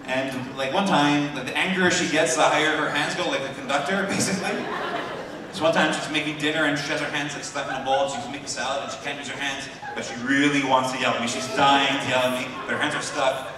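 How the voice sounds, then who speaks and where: A man's voice: medium pitch, steady tone, quick pace, noisy background. A man doing stand-up, telling a story in a chatty way. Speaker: stand-up comedian. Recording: distant, echoey room with crowd.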